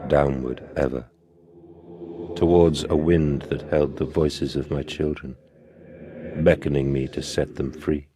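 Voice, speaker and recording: An actor with a British accent playing a haughty, tormented Victorian gentleman in a horror game, theatrical, formal and intense. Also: a bass voice, fairly even intonation, a little slow, long pauses. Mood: haunted. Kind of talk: dramatic horror game acting. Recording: noisy game recording with ambient sound.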